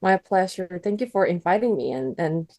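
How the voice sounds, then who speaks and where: Indonesian lady telling her story in english, low warm voice, lots of restarts, dry sound. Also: animated, dry recording. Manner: earnest, halting, with many restarts. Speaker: interview guest, country director. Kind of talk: personal testimony in an interview.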